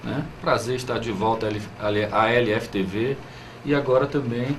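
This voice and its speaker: A man's voice: medium pitch, moderate pace. A man from Bahia with a Brazilian accent, answering as a political interview guest in hesitant phrases with frequent tag questions. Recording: clear background noise.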